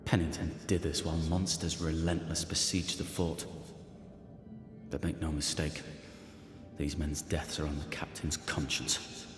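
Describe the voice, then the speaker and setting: A devoted, stern male ghost for a game cutscene. The voice is deep and formal, solemn in its weight. It echoes heavily, with clear music behind it. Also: little pitch movement, measured pace. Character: grave, devoted ghost husband, protective and stern. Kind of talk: video game cutscene acting. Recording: echoing hall-like reverb, music behind.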